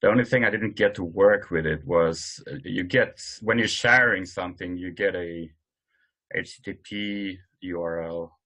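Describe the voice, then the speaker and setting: A man presenting informally in an online work meeting, speaking hesitantly with frequent pauses. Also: deep voice, fairly even intonation, bright, forceful tone. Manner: hesitant, halting delivery with many pauses. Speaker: meeting host presenting tools. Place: online conference call.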